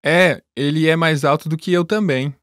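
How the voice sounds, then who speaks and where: A man reading scripted lesson dialogue in a plain, relaxed way. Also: a little high, normal speed, no room sound, clean studio sound. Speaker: language lesson voice actor.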